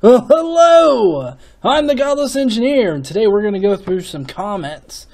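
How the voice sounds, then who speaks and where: A man hosting his own channel, reading and mocking viewer comments in a sarcastic, profane, animated way. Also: high voice, normal speed. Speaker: channel host. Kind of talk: casual vlog commentary.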